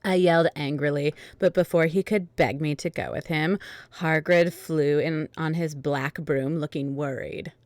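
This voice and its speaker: Low-pitched woman, dry close sound. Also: fairly even intonation, unhurried, no room sound. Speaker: host and narrator. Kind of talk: dramatic reading and casual livestream chat.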